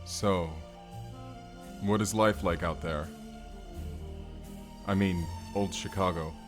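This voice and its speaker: An actor playing a hulking, earnest, gentle barbarian man in an audio roleplay, speaking formally and haltingly. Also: low voice, steady tone, music in the background. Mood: wistful, tender. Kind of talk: first-person audio roleplay acting.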